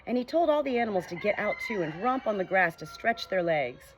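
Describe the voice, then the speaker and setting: A woman narrating a children's storybook as an audiobook, reading in a clear, steady way. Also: medium pitch, brisk, noisy background.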